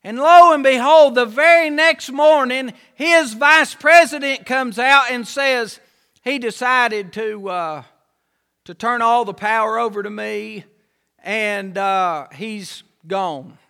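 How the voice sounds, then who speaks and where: A man's voice: very high-pitched, slow, sharp tone, smooth. A man preaching a sermon, with an impassioned, repetitive cadence that rises and falls. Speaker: pastor.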